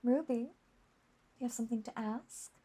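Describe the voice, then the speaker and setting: A woman voicing an obsessive, possessive witch in an intimate roleplay audio drama, cooing and coaxing in soft, breathy half-whispers. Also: fairly high pitch, moderate pace. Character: lovesick yandere witch, obsessive, possessive, sweetly unhinged.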